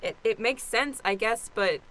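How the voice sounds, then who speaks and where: A woman's voice: a little high, normal speed, fairly bright tone, close to an everyday voice. A woman playing an anxious, hesitant young heroine in an improvised radio play, stammering in a natural, everyday way. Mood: panicked. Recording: faint background noise.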